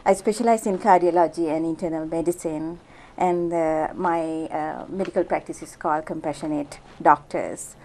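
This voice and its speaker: A woman's voice: sits low, steady tone, moderate pace, dark tone, poor audio. A middle-aged woman from Sri Lanka, a physician, talking through an interview in a casual way with many fillers and restarts. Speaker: physician guest.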